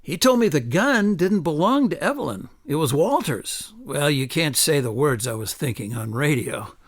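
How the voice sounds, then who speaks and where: A man's voice: a little high, big pitch swings, moderate pace, no echo, studio quality. An actor playing an earnest, articulate male attorney turned author in a radio drama. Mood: explanatory.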